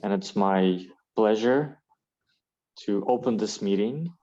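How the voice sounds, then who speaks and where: Club president from ukraine opening a video call, kinda low voice, lots of uhs, muffled lo-fi audio. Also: male voice, slow pace, dark tone. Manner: hesitant, friendly, many fillers. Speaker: club president hosting the meeting. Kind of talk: online meeting host chat.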